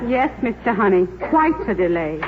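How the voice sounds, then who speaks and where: An actress playing a calm, kindly young air stewardess in 1950s radio drama, gentle and composed. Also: female voice, medium pitch, normal speed, natural voice. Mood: calm, sympathetic. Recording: muffled, hissy old radio recording.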